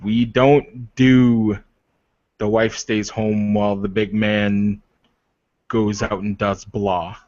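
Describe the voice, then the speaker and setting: A man acts out a blunt male werewolf in a tabletop roleplay. The voice is lowish and emphatic, halting as he lays out his terms with exasperation. The recording is slightly dull. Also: level tone, darkish tone, no echo. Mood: exasperated, insistent.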